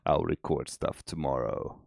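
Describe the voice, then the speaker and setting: A man with a cold, his voice extra deep, chatting easygoing and rambling with many restarts while streaming game development. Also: measured pace, gravelly, darkish tone, no room sound, clean studio sound. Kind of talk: casual chat on a dev stream.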